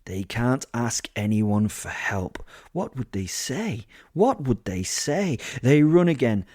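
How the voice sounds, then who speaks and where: A man's voice: medium pitch, big pitch swings, slow, no room sound, studio quality. A man voicing a cruel, centuries-old werewolf confessing in a melodramatic monologue for a horror-comedy audio drama. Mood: righteous, grandiose. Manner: melodramatic monologue, from weak whispers to loud crescendos.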